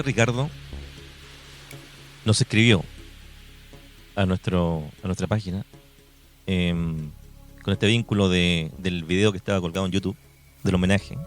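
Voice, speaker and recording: A Chilean man hosting a radio programme in a courteous, reflective conversational way. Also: fairly low pitch. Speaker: radio host. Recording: music bed under the voice. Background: background music.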